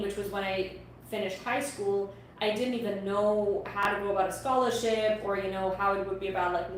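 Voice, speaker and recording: A young woman from Austria whose first language is German, talking earnestly as an interview guest and often repeating words as she starts a sentence. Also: mid-range voice. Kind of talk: casual interview talk. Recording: distant echoey mic with faint noise.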